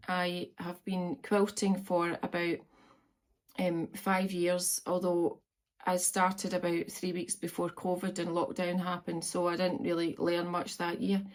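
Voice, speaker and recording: Woman, fifties, Scottish accent, lowish pitch, even tone, casual home vlog chat. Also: measured pace. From Scotland. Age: 50s. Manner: chatty, meandering, frequent ums. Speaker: vlogger. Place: at home on a farm, indoors.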